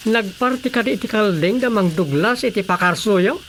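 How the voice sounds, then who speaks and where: A woman with an Ilocano accent playing a brisk, inquisitive village councilor in a radio drama comedy. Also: mid-range voice, animated, a little hiss or hum, lightly acted. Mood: probing.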